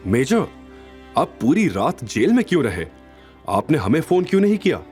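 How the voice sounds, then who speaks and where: A man's voice: on the high side, sing-song, normal speed, a little mellow, faint music behind, pitched above the performer's own voice. A man voicing a respectful, earnest army captain reporting to his superior in an audio drama. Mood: concerned. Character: dutiful deferential male army captain. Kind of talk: Hindi audio drama acting.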